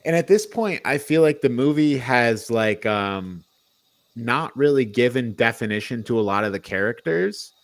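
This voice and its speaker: A man co-hosting a podcast, chatting casually through a film review in a halting, rambling way. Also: mid-range voice, lively intonation, no echo. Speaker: podcast co-host.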